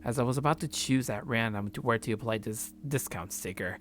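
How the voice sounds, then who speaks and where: A man with a medium-pitched voice reads visual novel narration aloud as a let's play host. Background music runs under his voice. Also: brisk, a bit bright.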